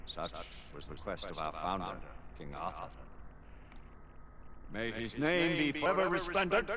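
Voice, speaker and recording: A man's voice: lowish voice, a little slow, a crowd in the background, close to an everyday voice. A man playing a stern, proud, ancient Lord Chancellor of knights in a video game drama, speaking in solemn, formal, commanding oratory.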